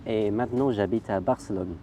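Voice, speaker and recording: A man's voice: lowish voice, fairly quick, over loud music. A man who grew up in the United Kingdom, interviewed casually, speaking hesitantly. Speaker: guest.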